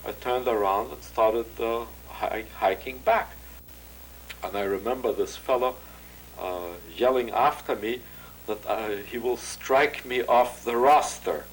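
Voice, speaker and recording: An older man giving a measured, recalled testimony as an interviewee, with frequent pauses and filler sounds. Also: medium pitch, a little hiss or hum, rough recording.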